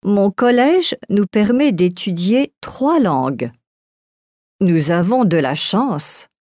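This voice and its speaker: A woman giving a careful, clearly articulated scripted reading for a language course. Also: mid-range voice, sing-song, takes it slowly, dark tone, dry recording. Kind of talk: slow scripted reading for learners.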